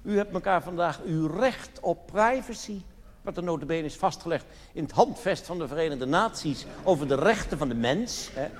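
A man's voice: a little high, animated, normal speed. A man from the Netherlands performing live stand-up cabaret, telling anecdotes in a theatrical, mock-solemn manner full of rhetorical questions. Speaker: cabaret comedian. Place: theatre stage in a large hall. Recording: reverberant hall live recording with noise.

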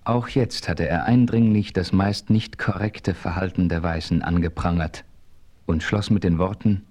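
A man narrating a radio play in the first person, telling the story in a calm, measured way. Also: sits low. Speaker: narrator and hero.

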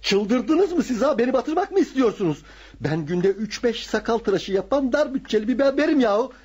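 A man's voice: very high-pitched, very animated, normal speed, darkish tone, breathy edge, exaggerated voice. An actor playing a harried, hard-up barber and father in a radio drama, complaining in exasperation. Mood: exasperated. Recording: dull lo-fi radio drama recording.

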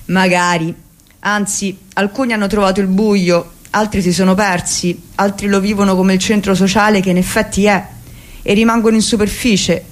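A woman's voice: mid-range voice, little pitch movement, normal speed. A woman giving an impassioned, declamatory reading of a book for the radio. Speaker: reader. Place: radio studio.

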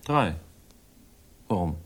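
A suave and condescending man in a 1960s radio crime play. He speaks in a lowish voice with urbane polish and haughty irritation when pressed. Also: very animated, extremely slow, full character voice. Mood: defensive, smooth. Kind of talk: 1960s German radio crime drama acting.

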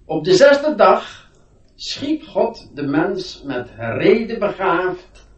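Strict radio-play schoolmaster, pompous and sarcastic, high voice, slow delivery, echoing room. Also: male voice, sing-song, far from the mic, highs cut off, full character voice. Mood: solemn lecturing. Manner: pompous, scolding, deliberate. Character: stern, pompous male schoolmaster, scolding and sarcastic. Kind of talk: radio drama acting. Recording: roomy, set back from mic.

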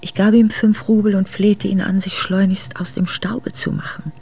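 An actress playing an earnest, reflective exiled noblewoman, narrating her memoir in a measured way for a radio drama. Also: medium pitch, little pitch movement, vocal fry, dark tone, in a whisper, soft background music, lightly acted. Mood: grave, reflective. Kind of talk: literary first-person radio drama narration.

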